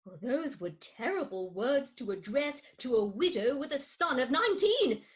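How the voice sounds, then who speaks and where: Casting: woman as indignant, cajoling Victorian mother, high swooping delivery, emphatic, stage comedy, dull sound. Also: very animated, moderate pace. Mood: pleading. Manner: theatrical, emphatic. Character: Agatha Posket, dramatic middle-aged Victorian wife and indignant, cajoling mother. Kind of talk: comic Victorian stage play reading. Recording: dull lo-fi remote recording.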